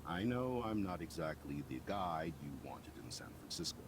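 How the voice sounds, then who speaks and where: An actor playing a middle-aged school principal in a video game, avuncular and dryly joking, self-important but encouraging. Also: male voice, low voice, big pitch swings, normal speed, noisy background. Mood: wry, encouraging.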